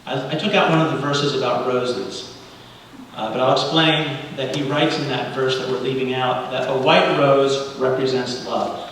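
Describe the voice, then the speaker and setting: Distant mic, long hall reverb, man, middle-aged, native Spanish speaker, sermon-like delivery, deliberate phrasing. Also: medium pitch, fairly even intonation, fairly bright tone. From Cuba. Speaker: guest speaker leading a congregational service. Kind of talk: sermon-like public address with readings. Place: large reverberant indoor hall, speaking to a congregation. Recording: very echoey hall, distant mic, light noise.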